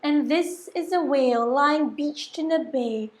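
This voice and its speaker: A woman teacher reading a children's storybook aloud in a theatrical, expressive way with deliberate phrasing. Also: high-pitched, a little hiss or hum. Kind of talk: animated children's storybook narration.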